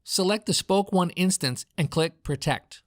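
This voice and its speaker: A man with a General American accent reading a scripted product demo in a clearly enunciated, instructional way, as a voice-over narrator in a home studio. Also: sits high, unhurried, no room sound. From United States.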